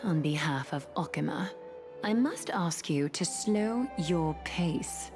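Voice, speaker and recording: A regal commanding game leader, lowish voice, formal and icy, music behind. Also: female voice, animated, slow, exaggerated voice. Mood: cool, stern. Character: poised, imperious woman leader, coolly threatening. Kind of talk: formal video game voice acting. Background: background music.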